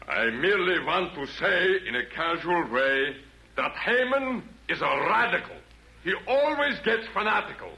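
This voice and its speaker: An actor playing a belligerent, scornful tyrant demon with blustering 1940s radio delivery. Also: male voice, sits high, sing-song, a little slow, gravelly, sharp tone, full character voice, low-quality recording. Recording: old 1940s radio broadcast.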